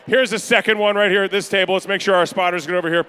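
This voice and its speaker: A man calling pledges at a charity gala in a banquet room, energetic and upbeat with rapid-fire banter to the crowd. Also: high voice, smooth. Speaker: charity auctioneer. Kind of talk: live fundraising pledge calling.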